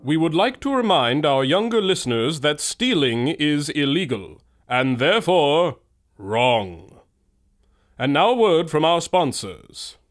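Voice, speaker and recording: A man playing a solemn, booming announcer in 1940s radio style. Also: sing-song, measured pace.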